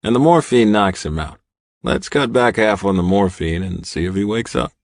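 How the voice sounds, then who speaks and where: A man's voice: lowish voice, animated, normal speed, no room sound. Guy playing blunt biker club doc, scolding, audiobook, dry sound. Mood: firm, scolding. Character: gruff, scolding male club doctor. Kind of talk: audiobook character acting.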